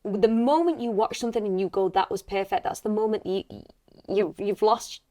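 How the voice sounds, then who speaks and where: A woman's voice: mid-range voice, steady tone, moderate pace, warm tone, no room sound. A British woman, an actor and coach, giving earnest, encouraging advice in a conversational interview.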